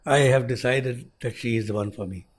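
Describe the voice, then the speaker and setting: A man voicing a jealous, wrathful male demon deity who broods and threatens in a low voice. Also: moderate pace, natural voice. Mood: indignant.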